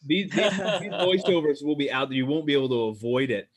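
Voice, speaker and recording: A man hosting a casual livestream chat, excitable and stammering, repeating his exclamations. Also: a little high, fairly quick, crowd noise. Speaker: show host.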